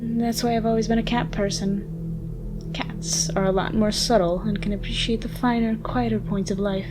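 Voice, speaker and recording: A woman playing a haughty, sardonic ancient vampire with a soft side, speaking in wordy mock-formal lines in a dramatic ASMR roleplay. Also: mid-range voice, fairly even intonation, moderate pace, natural voice. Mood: exasperated, disdainful. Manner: theatrical, wordy, dry mock-formal speech. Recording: music behind the voice. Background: music.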